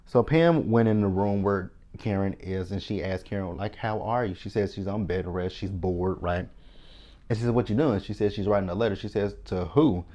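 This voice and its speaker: A man's voice: on the low side, moderate pace, dark tone, highs cut off. A man from Texas with a Texan accent, casually recapping a TV episode in a chatty, sassy, opinionated way, talking straight to his viewers. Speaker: TV recap host.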